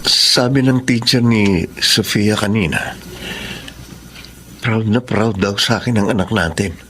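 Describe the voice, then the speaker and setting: A man's voice: on the low side, unhurried, fairly bright tone, slight background noise. A man acting a remorseful grown son, a humble farmer, in an emotional and hesitant television drama style. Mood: choked, regretful. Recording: music under the voice.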